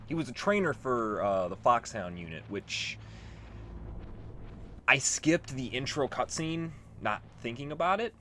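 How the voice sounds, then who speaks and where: A man's voice: medium pitch, animated, measured pace, pushed and bright, a little hiss or hum. A man giving casual, informal, sweary video game commentary as a let's play host. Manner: profane, informal.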